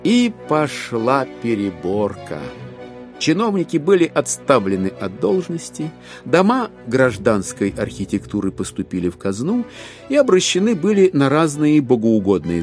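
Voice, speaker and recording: A man giving an expressive, wryly ironic theatrical narration of a classic novel. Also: mid-range voice, normal speed, soft background music. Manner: expressive, storytelling with wry irony.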